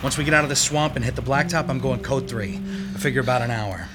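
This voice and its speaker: A man playing a steady tough detective. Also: mid-range voice, fast, natural voice. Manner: steady, commanding. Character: calm, tough male police detective. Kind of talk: radio drama acting. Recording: old radio drama with music bed. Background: music.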